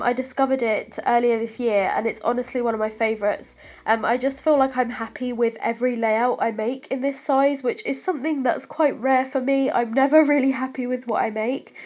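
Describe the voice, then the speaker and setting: A young woman from the UK talks through a craft voice-over with a thick head cold, her voice stuffy and slightly breathless. She chats in a friendly, apologetic way with plenty of ums, and her pitch sits a touch high. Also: a little mellow. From United Kingdom. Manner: chatty, apologetic, lots of ums. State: congested from a cold, stuffy nose; short of breath, voice fading. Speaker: craft vlogger. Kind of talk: scrapbooking process voice-over.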